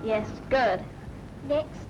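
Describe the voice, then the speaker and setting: High-pitched schoolmistressy safety instructor. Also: female voice, extremely slow, highs cut off. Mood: instructive, encouraging. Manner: schoolmistressy, didactic. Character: brisk instructive woman drilling road safety. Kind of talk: 1940s public information film instruction. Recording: noisy 1940s film soundtrack.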